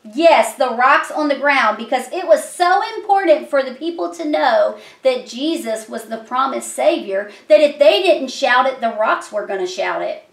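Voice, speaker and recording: Woman, children's storyteller, high pitch, simple explanatory delivery, roomy sound. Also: animated, moderate pace, vocal fry. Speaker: children's puppet show host and teacher. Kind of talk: Bible story told to a child puppet. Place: small decorated room indoors. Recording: echoey room.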